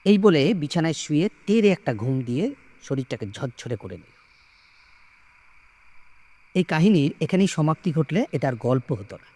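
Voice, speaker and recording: A man narrating a scripted ghost story in the first person, in a dramatic, expressive way. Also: medium pitch.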